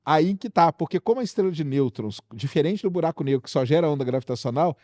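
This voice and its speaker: A fast-talking Brazilian man hosts a popular science chat in a mellow, slightly high voice. He speaks straight to the audience, throwing out rhetorical questions and everyday examples. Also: expressive, dry recording, clean studio sound. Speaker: science show host.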